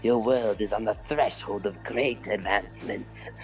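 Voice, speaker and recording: Man playing a very deep commanding robot villain. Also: close to an everyday voice. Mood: cool, commanding. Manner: formal, measured, grandiose. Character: commanding alien robot leader, cold scheming villain. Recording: voice under background music.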